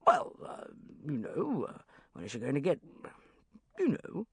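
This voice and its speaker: A woman's voice: low-pitched, wildly swooping pitch, a little slow, a little mellow, husky, no echo, clean studio sound, exaggerated voice. Woman putting on a bellowing, pompous banker man. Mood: flustered. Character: enormous, jowly, leering male merchant banker, patronizing. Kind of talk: audiobook character voice.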